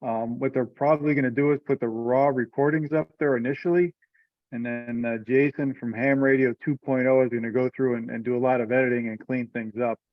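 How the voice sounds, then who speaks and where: A man's voice: mid-range voice, steady tone, fairly quick, no echo, highs cut off. A man leading an informal meeting discussion over conference call audio.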